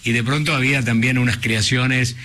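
A man's voice: medium pitch, steady tone, fairly quick, sharp tone. A man with an Argentine accent hosting a casual radio chat, playful and teasing with his guest. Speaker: radio host. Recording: radio broadcast with music underneath.

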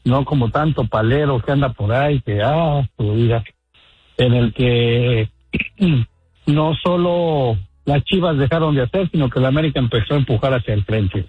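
A man with a Mexican accent calling into a sports radio show, rambling and halting as he chats about a match. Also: medium pitch, husky, no room sound, narrow, tinny audio. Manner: rambling, halting, laughs often. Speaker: caller. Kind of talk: chatty phone-in about football.

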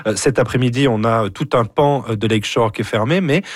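A man's voice: mid-range voice, quick pace, dry recording, studio quality. A man with an accent from France giving casual radio commentary as a music columnist, chatty, digressive and teasing. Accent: French from France.

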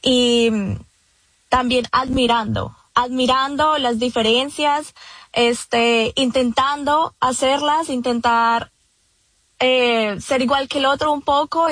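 A woman's voice: on the high side, a bit bright, no room sound. A woman with a Colombian accent, a guest in a radio interview, speaking earnestly with many fillers and restarts. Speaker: event organizer, guest.

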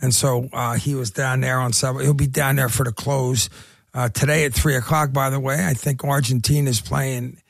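A man co-hosting a casual radio chat, talking haltingly with lots of fillers and restarts. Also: medium pitch, level tone, gravelly, no room sound, studio quality. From Irish heritage. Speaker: radio co-host.

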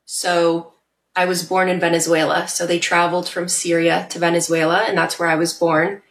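A woman's voice: fairly low pitch, little pitch movement, moderate pace, echoey room. A woman guest in a podcast interview, speaking earnestly and reflectively with occasional fillers. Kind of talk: casual interview chat.

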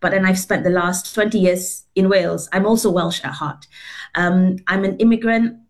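A woman's voice: lowish voice, steady tone, normal speed. A middle-aged woman from Singapore, a guest telling her story earnestly over a video call, with fillers and restarts. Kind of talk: remote interview.

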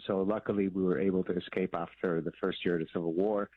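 A man's voice: low-pitched, fairly even intonation, fast, no echo. A man in his fifties from Lebanon, a guest author answering interview questions in an articulate, explanatory way. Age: 50s. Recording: tinny phone-like sound, dry.

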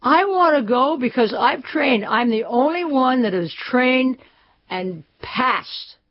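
A woman's voice: high-pitched, expressive, slow pace. An older woman speaking proudly and emphatically in an archive interview. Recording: dull archive recording.

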